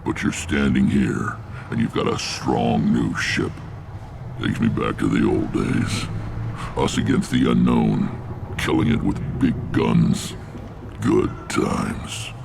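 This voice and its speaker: A massive alien warlord from a video game, with an extremely deep, heavily creaking voice. He speaks bluntly and with weathered authority, as a leader of clans, over clear background rumble. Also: fairly even intonation, slow pace, heavily acted. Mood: warm, nostalgic. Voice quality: gravelly, rumbling. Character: huge gruff alien warlord clan leader, commanding, weathered.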